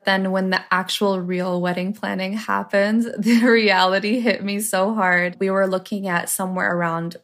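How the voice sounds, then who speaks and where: A woman's voice: mid-range voice, fairly even intonation, normal speed, few pauses, fairly bright tone. A woman in her twenties from Canada, telling her own story in a casual, chatty way with lots of filler words. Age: 20s. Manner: chatty, with filler likes and laughs. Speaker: interview guest.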